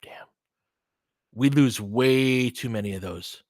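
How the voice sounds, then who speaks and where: A man's voice: mid-range voice, slow, a little mellow, dry recording, studio quality. A man doing informal, opinionated basketball play-by-play, mixing clipped calls of the action with casual chat to his listeners. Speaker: host and play-by-play commentator of a basketball livestream. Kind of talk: casual fan play-by-play commentary mixed with chat.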